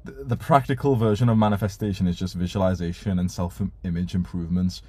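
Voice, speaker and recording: A young man hosting a live stream in a casual, laid-back way, reading viewer messages and swearing now and then. His voice is very low and mellow with a husky edge. The sound is dry and close. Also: steady tone, fast talker, no echo. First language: Urdu.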